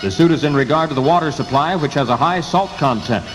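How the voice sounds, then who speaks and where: A man's voice: on the high side, quick pace. A man with an American accent reading a scripted 1960s radio news bulletin in clipped headlines. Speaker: radio newsreader. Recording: 1960s radio aircheck, hissy, long reverb.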